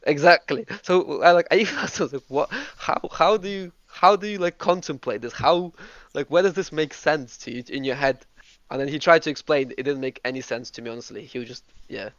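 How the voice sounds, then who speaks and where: Brief: man from Russia, group host, quick stop-start talker, dry lo-fi call audio. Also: a little high, a bit bright, no echo. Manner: eager, talks in bursts with many restarts and fillers. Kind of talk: casual group voice chat.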